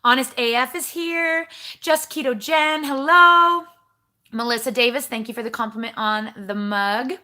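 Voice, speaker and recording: A woman's voice: on the high side, a little slow, pushed and bright. A woman hosting a casual livestream from home, chatting with viewers and greeting them by name in a bubbly, rambling way. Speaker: livestream host. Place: home kitchen.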